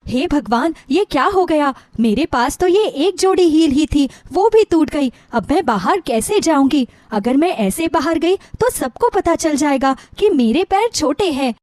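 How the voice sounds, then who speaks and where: Woman playing a timid, high-voiced young bride. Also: lively intonation, measured pace, hardly any pauses, darkish tone, no room sound, clean studio sound. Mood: panicked. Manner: plaintive, anxious. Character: timid, worried young bride of short stature.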